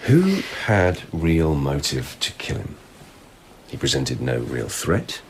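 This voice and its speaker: An actor playing a probing, restrained police lieutenant in a radio drama, with a husky voice and measured, insistent questioning. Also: male voice, extremely low pitch, huge pitch swings, slight background noise, heavily acted. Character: male police lieutenant, probing, restrained investigator in old Japan. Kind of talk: naturalistic radio drama acting.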